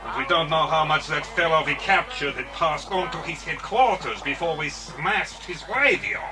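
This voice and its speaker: An actor playing a cold, menacing boss of gun smugglers in a children's adventure drama, speaking slowly and deliberately. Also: male voice, on the high side, lively intonation, natural voice. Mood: cold menace. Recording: distant cassette recording with music.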